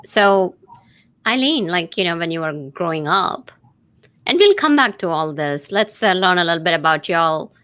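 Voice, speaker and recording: A woman's voice: lowish voice, animated, moderate pace, a bit bright. A woman hosting an interview, asking her guests encouraging questions. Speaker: host.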